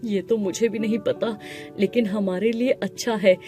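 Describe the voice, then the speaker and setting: A woman voicing a humble, gentle village husband in an animated moral story, earnest and deferential, with music behind. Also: mid-range voice, normal speed, close to an everyday voice. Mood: gentle, reassuring. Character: humble poor husband, adult man, pleading and reassuring. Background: background music.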